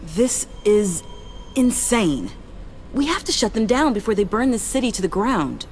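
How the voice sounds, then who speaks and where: A woman's voice: medium pitch, sing-song, unhurried, a little mellow, a little hiss or hum. An actress playing an earnest, determined young woman in a video game, speaking with intense, direct emotion. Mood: alarmed. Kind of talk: dramatic video game acting.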